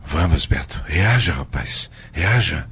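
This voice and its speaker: A man playing a middle-aged, caring and commanding father in an audio soap, speaking earnestly and haltingly. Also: a bass voice, expressive, fairly bright tone, breathy edge, exaggerated voice. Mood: urgent, alarmed. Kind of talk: audio soap opera acting. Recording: muffled, dull audio drama recording.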